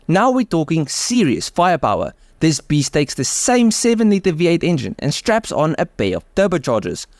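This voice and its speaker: Lively South African man narrating cars. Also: on the high side, dry recording, studio quality. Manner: enthusiastic, with offhand conversational asides. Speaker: car channel host. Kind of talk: scripted car video voice-over with casual asides.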